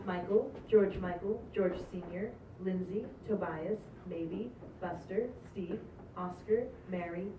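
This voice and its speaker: A woman's voice: fairly low pitch, takes it slowly. A woman reading stage directions as scripted narration at a table read. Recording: echoey, distant, dull, slightly noisy room.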